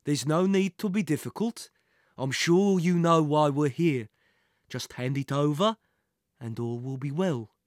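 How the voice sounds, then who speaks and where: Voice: medium pitch, unhurried, a little mellow, no room sound, studio quality, natural voice. A storyteller voicing a menacing male villain in an adventure audiobook, mock-polite and threatening with a nasty temper. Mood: mock-polite menace.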